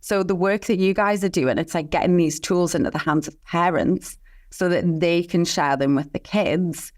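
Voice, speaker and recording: A woman's voice: on the low side, moderate pace. A woman speaking as a guest expert in an interview, earnest and passionate, telling a personal story with conviction. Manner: earnest, passionate, talks of mission and calling. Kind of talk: candid interview talk.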